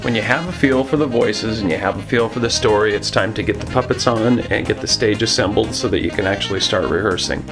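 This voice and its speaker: A man narrates a how-to lesson in a conversational, encouraging way. His voice is fairly low with a lot of gravelly creak, over faint music. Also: fast talker, hardly any pauses. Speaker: puppetry instructor. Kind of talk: informal instructional narration.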